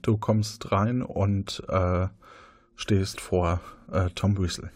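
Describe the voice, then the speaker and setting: A man's voice: low voice, steady tone, takes it slowly, a little mellow, no room sound, clean studio sound. A man narrating a tabletop mystery game as game master, hesitating often with fillers and restarts.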